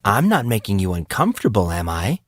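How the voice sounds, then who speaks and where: A man's voice: lowish voice, huge pitch swings, fairly quick, no echo, clean studio sound. Man playing a wry, sarcastic teenage boy. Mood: mock innocent.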